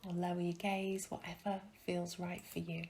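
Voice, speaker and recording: A woman guiding a meditation in a soft, breathy, soothing way, leaving long pauses between phrases. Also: on the low side, little pitch movement. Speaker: meditation guide. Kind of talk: guided meditation.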